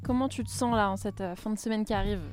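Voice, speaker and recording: A woman's voice: medium pitch, fairly even intonation, quick pace, darkish tone, faint music behind. A woman hosting a radio show, upbeat and casual as she interviews a guest. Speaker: radio host.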